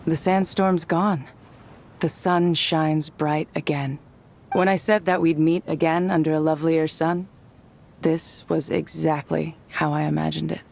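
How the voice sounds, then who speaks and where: A woman's voice: deep voice, level tone, measured pace, gravelly, darkish tone, a little hiss or hum. A woman playing a young, tough and laid-back desert mercenary in a video game, with a casual, understated delivery. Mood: wistful.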